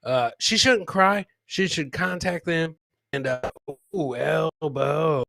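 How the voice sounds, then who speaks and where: Bright, higher-voiced man hosting comedy. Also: slow, creaky, no room sound. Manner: jokey, informal, repeats phrases. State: several beers in. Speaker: host. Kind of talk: live comedy podcast, reacting to clips and chat.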